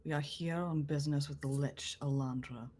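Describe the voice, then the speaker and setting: A man's voice: a little high, steady tone, moderate pace, a little mellow, a little hiss or hum, natural voice. A man playing a formal, polite adventurer who serves a villainous lord in a tabletop game, speaking in stiff, courteous phrases.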